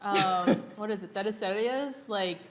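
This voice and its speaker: A woman's voice: medium pitch, slow. Chatty young woman hosting a meal. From United States. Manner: chatty, animated storyteller, laughs often. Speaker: host. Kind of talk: casual chat over a meal. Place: indoor dining table set with food. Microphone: lapel mic.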